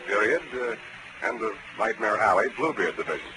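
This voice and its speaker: A man's voice: lowish voice, lively intonation, fairly quick, close to an everyday voice. An American man acting a wry, tough, flirtatious private detective in a 1940s radio drama. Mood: wry reporting. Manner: wry, sardonic. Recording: noisy vintage 1940s radio broadcast.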